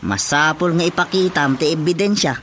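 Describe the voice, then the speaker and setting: A man playing a flustered, indignant village councilor in an Ilocano radio comedy, excitable and exclamatory, with an Ilocano accent. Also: a little high, brisk, a little hiss or hum, natural voice. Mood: insistent.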